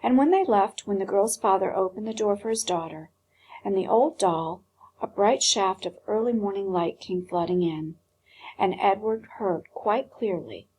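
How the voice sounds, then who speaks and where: A woman teacher reading a storybook aloud expressively, with dramatic pauses and hushed phrases. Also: medium pitch, husky, low-quality recording.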